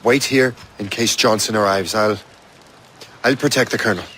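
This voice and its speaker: A man's voice: lowish voice, moderate pace, fairly bright tone, a little hiss or hum, natural voice. Man acting as wry young Irish sea captain. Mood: resolute, brooding. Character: young male sea captain, former assassin, wry and loyal. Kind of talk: video game cutscene acting.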